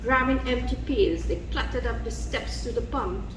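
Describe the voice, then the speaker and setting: A woman reads a storybook aloud to children in an expressive, measured, dramatic way. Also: a little high, far from the mic. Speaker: guest reader. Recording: roomy, noisy recording with background ambience.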